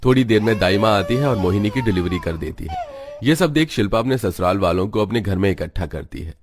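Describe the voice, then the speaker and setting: A man's voice: fairly low pitch, animated, fairly quick. A man reading scripted narration for a cartoon story.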